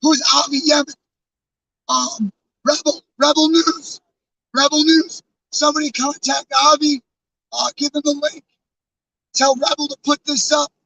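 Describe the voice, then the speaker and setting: Hoarse man streaming live, off-mic. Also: very high voice, level tone, unhurried, pauses often, pushed and bright, recorded from a distance, poor audio. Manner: earnest, rambling, many fillers and restarts. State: hoarse, losing his voice. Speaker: live streamer with a truck convoy. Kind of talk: casual live-stream talk to chat. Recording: off-mic with faint background noise.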